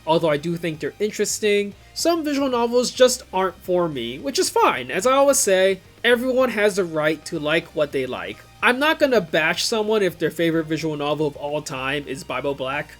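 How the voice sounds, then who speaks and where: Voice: medium pitch, expressive, moderate pace, few pauses, faint music behind. A video creator giving scripted commentary in an informal, wry way. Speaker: video creator reviewing visual novels.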